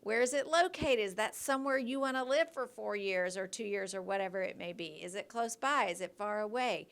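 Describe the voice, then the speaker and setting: A woman's voice: mid-range voice, fairly quick, fairly bright tone. A woman, a school counselor, giving students encouraging, direct advice in a school news announcement.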